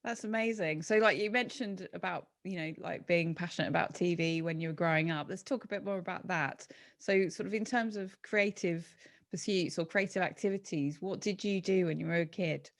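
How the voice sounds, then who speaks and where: Female host, gravelly creak in a lower register, casual and encouraging in interview. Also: brisk. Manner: encouraging, loosely phrased questions.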